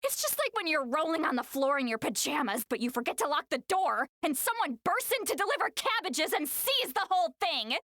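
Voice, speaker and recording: Game role: ageless little girlish being, bright, very high voice, flustered and defensive. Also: very animated, fairly quick, hardly any pauses, dry recording, studio quality, heavily acted. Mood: embarrassed ranting. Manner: defensive, stammering, dramatic. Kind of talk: video game character acting.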